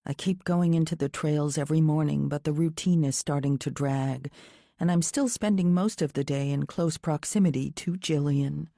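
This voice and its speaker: A woman's voice: low-pitched, moderate pace, vocal fry, mellow, dry recording, studio quality. A woman reading a first-person thriller audiobook as scripted narration in the present tense.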